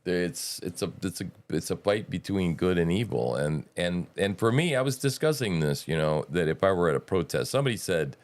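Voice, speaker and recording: A middle-aged man with a very low, deep voice talks loosely on a talk show. He rambles, restarts his sentences and leans on folksy slang. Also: expressive, a little fast, hardly any pauses. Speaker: host. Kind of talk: casual political talk.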